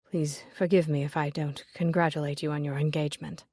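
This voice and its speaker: A woman voicing an earnest, lovesick young gentleman, speaking low and hushed. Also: flat delivery, brisk, no echo. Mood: hushed pleading.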